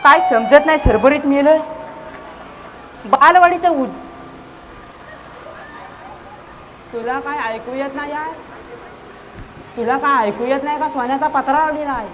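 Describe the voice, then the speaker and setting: Young performer playing a high-pitched, bossy village secretary. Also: moderate pace, pauses often, fairly bright tone. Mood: bossy, exasperated. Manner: scolding, exasperated, repetitive barking. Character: bossy, irritable village secretary scolding everyone. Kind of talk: broad comic amateur stage play. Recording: noisy live stage recording.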